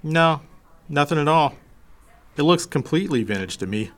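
A man's voice: fairly high pitch, lively intonation, moderate pace, a bit bright. An actor playing a friendly, chatty repair shop man in an audio drama, with a folksy, easygoing manner. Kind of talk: naturalistic audio drama acting.

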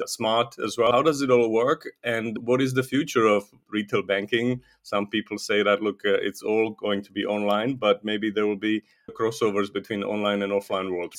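A man's voice: fairly low pitch, a bit bright. A man hosting a podcast interview, asking his guests questions in a friendly, upbeat way. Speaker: podcast host.

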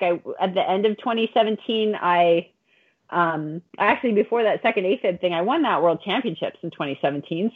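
Phone-line woman in her forties, candid. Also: mid-range voice. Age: late 40s. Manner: candid, self-deprecating. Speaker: guest, veteran triathlete and running coach. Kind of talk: casual interview talk. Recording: thin phone-like call audio.

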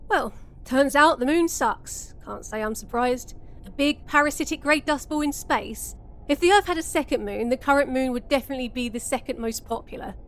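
An actress playing a jaded, foul-mouthed, sardonic host of a comedy review show. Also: female voice, high-pitched, normal speed, natural voice. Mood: sardonic, exasperated. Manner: sardonic, dismissive.

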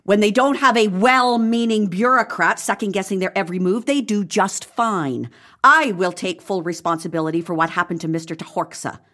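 An actress plays a weary, defiant female healer in an audio comedy, her voice mid-pitched. She is dry, blunt and firm. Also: moderate pace, a bit bright, lightly acted. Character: exasperated, defiant female royal physician. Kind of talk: audio comedy drama acting.

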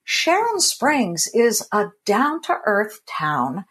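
A woman from California hosting an interview, chatty and quick to laugh. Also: medium pitch. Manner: chatty, animated, laughs easily. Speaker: host. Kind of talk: podcast interview.

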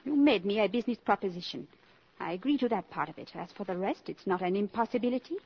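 An actress playing a proud, worldly, impoverished countess in a 1950s radio drama, with a haughty, dry, imperious manner. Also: female voice, mid-range voice, lively intonation, fast, husky. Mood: proud, cool. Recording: muffled vintage 1950s radio recording.

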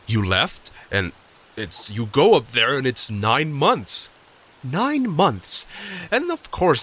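An actor playing an exasperated but loving husband in an audio drama, dictating an emotional message in informal, halting, emphatic phrases. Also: male voice, medium pitch, fairly bright tone. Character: exasperated, loving husband left waiting on Earth.

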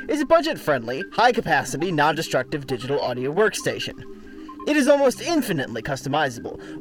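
A man reading a rapid-fire, lively advertising spiel. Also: on the high side, rough recording. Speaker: guest ad reader. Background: music bed under the read.

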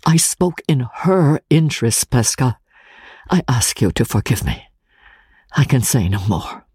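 A man voicing a firm, earnest gentleman pleading in a dramatic audiobook reading. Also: mid-range voice, sing-song, a little slow, breathy edge, no echo, studio quality, full character voice. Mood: earnest appeal.

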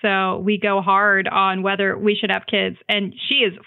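A woman's voice: mid-range voice, completely flat delivery, normal speed, vocal fry, clean tone, narrow, tinny audio. A woman in her thirties chatting casually as a podcast guest, emphatic and joking about herself as she tells personal stories. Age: 30s. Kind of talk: casual chat, personal anecdotes.

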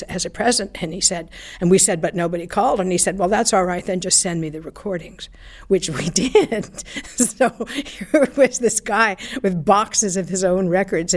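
A woman's voice: lowish voice, darkish tone. An older woman being interviewed, telling chatty anecdotes about her radio days with fillers and small laughs. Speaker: former radio broadcaster interviewee. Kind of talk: reminiscing interview.

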